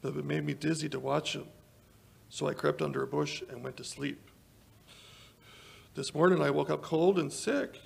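An older man playing a frail, dazed old villager, rambling haltingly in a radio drama. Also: fairly high pitch, fairly even intonation, gravelly. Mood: weak, wistful. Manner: halting, drawn-out delirious rambling. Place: small indoor stage, live performance. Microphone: vintage-style stand microphone.

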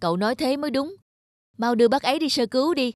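A woman's voice: sits high, fast, no room sound, studio quality, close to an everyday voice. A woman voicing an outspoken, protective market woman in a cartoon, firm and insistent. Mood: scolding. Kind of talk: cartoon voice acting.

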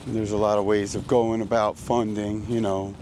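An American man with a slightly raspy voice from a recent illness, chatting casually and informally as he hosts a walking vlog into a handheld microphone. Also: fairly low pitch, level tone, normal speed, a little mellow. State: getting over a sickness. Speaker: vlogger hosting a walking tour. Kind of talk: casual rambling vlog chat. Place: outdoors on a city street. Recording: noisy outdoor street ambience.

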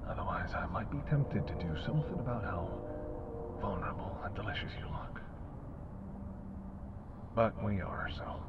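Male vampire played by a male actor for audio roleplay: lowish voice, wry, predatory, intimate banter, over clear vehicle-like background noise. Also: narrow, tinny audio. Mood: teasing, tempted. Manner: wry, teasing, murmured banter. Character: teasing, sardonic male vampire with a predatory streak.

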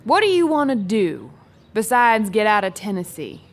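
Guarded, wry young woman, animated pitch. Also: moderate pace. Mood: dry, challenging. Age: young adult. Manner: terse, deflecting, sardonic retorts. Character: guarded, wry, lonely young small-town woman with foresight. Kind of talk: audio play acting before a live audience.

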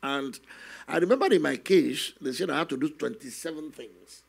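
Older man, gravelly husky voice, slightly high, slow earnest testimony, studio stand mic. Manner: slow, earnest storytelling with many "you know" asides. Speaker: guest minister. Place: television studio, seated on a set. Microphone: stand microphone in front of him.

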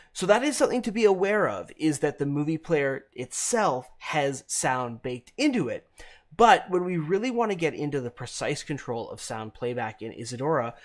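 A man in his thirties presenting a software tutorial, explaining deliberately phrase by phrase, close to the mic. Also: medium pitch. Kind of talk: instructional screencast narration.

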